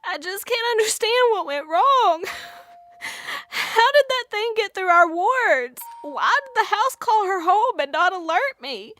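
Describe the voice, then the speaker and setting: A woman playing a kindly, motherly older aunt with a gravelly drawl in an audio drama. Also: very high voice, expressive, a little slow, a bit bright, a little hiss or hum, heavily acted. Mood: worried.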